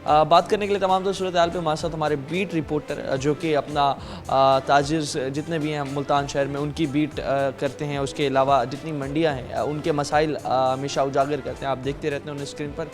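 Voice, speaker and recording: A man reporting the news and then interviewing a guest as anchor, earnest and drawn-out, pausing often on fillers. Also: on the high side, level tone, over music. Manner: earnest, drawn-out delivery with many filler pauses.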